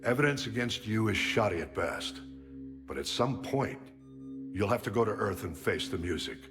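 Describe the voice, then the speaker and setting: Game admiral: older, authoritative and loyal, very low register with lively intonation. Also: male voice. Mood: supportive, resolute. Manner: measured, grave, authoritative. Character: gruff older male military admiral, stern but loyal. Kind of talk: cinematic science fiction game dialogue. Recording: music under the dialogue.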